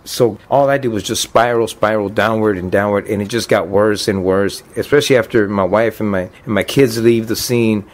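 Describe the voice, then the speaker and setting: Low-voiced earnest man in his fifties. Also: fairly even intonation, normal speed, few pauses. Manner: earnest, plainspoken, emotional. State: choked up. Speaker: deported veteran telling his story. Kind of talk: documentary interview testimony.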